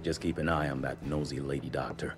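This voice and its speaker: A man playing a grave, steady frontier monster hunter in a video game, speaking in a measured, plain-spoken, folksy way. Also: rumbling low, music in the background. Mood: resolute, urging. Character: grave, gritty frontier monster-hunting veteran, steady mentor.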